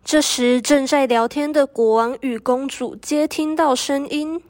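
A teenage girl narrates a story from a script. Her voice is high and recorded very dry, as in a student radio drama. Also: fairly even intonation, measured pace, darkish tone, dry recording.

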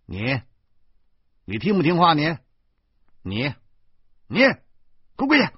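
A furious, self-righteous man acted by a male storyteller scolds like a bully in an audio story. He stammers and shouts, his husky voice leaping high. The recording is dry and slightly dull. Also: wildly swooping pitch, a little slow, dry recording, full character voice. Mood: menacing, commanding.

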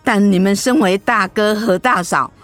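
A woman's voice: mid-range voice, normal speed, no echo, faint music behind, lightly acted. A woman playing an elderly, firm but generous mother-in-law in a radio drama, speaking plainly. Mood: indignant scolding. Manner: scolding, plain-spoken.

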